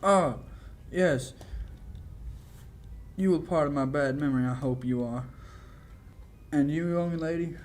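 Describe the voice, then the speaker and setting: A young male amateur actor playing a nervous, evasive old clock repairman in a halting, polite manner, in 1940s radio play style. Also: fairly high pitch, lightly acted. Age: young adult. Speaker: amateur high school actor.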